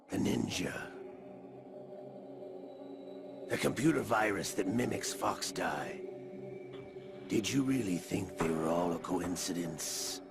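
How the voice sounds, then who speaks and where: Deep, gravelly, smug schemer. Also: male voice, exaggerated voice. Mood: gloating. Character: sly older male schemer, smugly condescending puppet master. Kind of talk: dramatic video game cutscene acting. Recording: music behind the dialogue.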